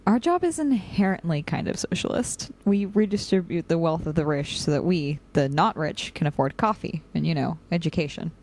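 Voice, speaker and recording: A young woman playing a dry, cynical, practical operative in an audio drama, with a deadpan, sardonic way of talking. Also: deep voice, normal speed, hardly any pauses, a little hiss or hum. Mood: dry, bantering. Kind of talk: audio drama dialogue and log entries.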